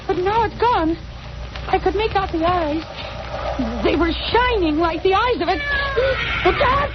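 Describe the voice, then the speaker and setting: An actress playing a worried, bewildered sister-in-law with melodramatic alarm in a 1940s radio drama. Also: female voice, very high voice, animated, a little slow. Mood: frightened. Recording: noisy, muffled old radio recording.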